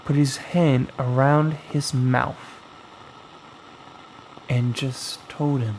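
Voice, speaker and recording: A man narrating a scripted horror story as its storyteller, in a dramatic, suspenseful way with long pauses. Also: medium pitch, fairly even intonation, a little mellow, slight background noise, poor audio. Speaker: storyteller, host of a horror anthology.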